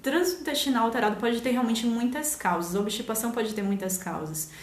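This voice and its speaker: A woman's voice: on the high side, quick pace, reverberant. A woman from Salvador with a Bahian accent, a nutritionist chatting on a live stream, explaining casually with many fillers. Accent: Bahian Portuguese. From Salvador, Brazil. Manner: explanatory, hesitant with fillers, laughs easily. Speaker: nutritionist host.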